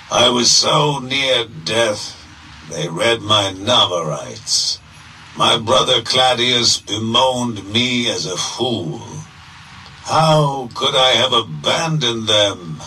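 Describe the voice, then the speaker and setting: An actor playing a zealous, grandiose merchant prophet of greed in a theatrical, deliberate game audio log monologue. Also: male voice, on the low side, bright, forceful tone, recorded from a distance, slight background noise, exaggerated voice, rough recording. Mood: grim, defiant.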